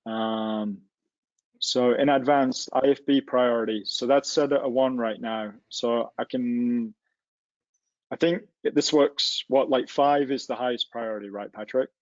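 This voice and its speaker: A man's voice: mid-range voice, fairly even intonation, measured pace, dry recording, highs cut off. A man teaching a live technical webinar, explaining things informally and step by step with hands-on examples. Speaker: instructor in a technical software tutorial. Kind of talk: casual live webinar walkthrough. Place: livestreamed online session.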